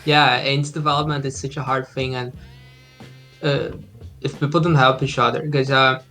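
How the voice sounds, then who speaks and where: A man's voice: medium pitch, level tone, moderate pace, sharp tone, faint music behind. Brazilian-raised man, filler-heavy chat. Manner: hesitant, many fillers, easygoing. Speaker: indie game developer guest. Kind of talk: casual interview chat. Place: home room, indoors. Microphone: laptop video call.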